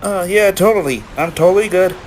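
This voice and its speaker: A man's voice: high-pitched, a little fast, natural voice. A man voicing a flustered, good-natured young male pony in a cartoon read-along. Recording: clearly noisy background.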